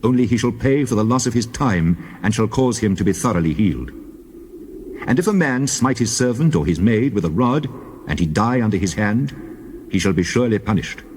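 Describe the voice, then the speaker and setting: Man playing a low-pitched, commanding God. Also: expressive, darkish tone, a little hiss or hum, lightly acted. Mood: solemn, commanding. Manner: solemn, measured, declamatory. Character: God, solemn commanding male deity giving laws. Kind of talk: dramatized scripture reading.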